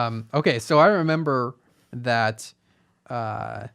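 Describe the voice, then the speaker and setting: A man's voice: mid-range voice, slow pace, vocal fry, fairly bright tone, clean tone. A young man co-hosting a movie podcast in casual, conversational chat. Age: young adult. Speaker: co-host of a movie review podcast.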